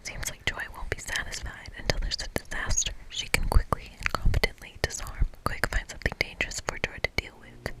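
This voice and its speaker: A woman's voice: a little fast, hardly any pauses. A woman narrating a game in ASMR style, whispering in a playful, informal manner. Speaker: ASMR creator.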